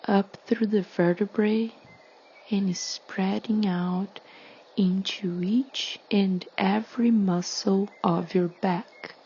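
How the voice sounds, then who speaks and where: A woman leading a guided meditation in a soothing, hushed, breathy delivery with long pauses. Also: medium pitch, fairly even intonation, dull audio.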